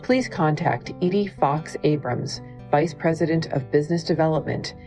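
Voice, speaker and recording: A woman's voice: low voice, animated, moderate pace, warm tone, soft background music. A woman announcer delivering scripted promotional narration.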